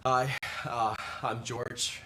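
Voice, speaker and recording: A man's voice: medium pitch, little pitch movement, extremely slow, sharp tone, rough recording. A man playing a hesitant support group member in a live improv sketch. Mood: sheepish. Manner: hesitant, with fillers.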